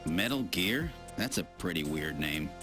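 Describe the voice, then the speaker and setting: A man's voice: low-pitched, lively intonation, measured pace, music in the background. A man playing a casual, wry young-at-the-job detective hero in a video game, often questioning. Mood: wry, curious.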